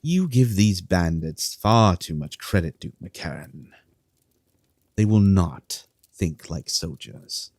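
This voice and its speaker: A man acts out an arrogant fantasy king with a deep voice and a measured pace. He is imperious and dismissive, issuing orders with open contempt in the manner of an audio drama. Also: expressive, darkish tone, dry recording, clean studio sound, full character voice. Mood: brisk, dismissive. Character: haughty, dismissive king giving orders to subjects.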